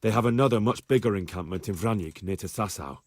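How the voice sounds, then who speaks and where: A man's voice: on the low side, fast talker, dry recording, close to an everyday voice. A young man playing an earnest peasant spy. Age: young adult. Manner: respectful, earnest. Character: young male peasant hero turned spy. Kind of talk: video game voice acting.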